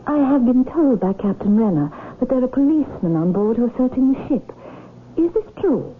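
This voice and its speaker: An actress playing a proud, composed aristocratic widow in a radio drama, speaking in a formal, poised and imperious manner. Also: female voice, a little high, expressive, normal speed, natural voice. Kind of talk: 1970s radio drama acting. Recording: muffled lo-fi old radio broadcast, hiss.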